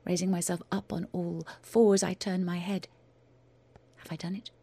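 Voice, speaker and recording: A woman performing her own first-person audio drama, in a measured, intense delivery that often drops to a hushed whisper. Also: on the low side, level tone, creaky, breathy edge. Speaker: writer and performer.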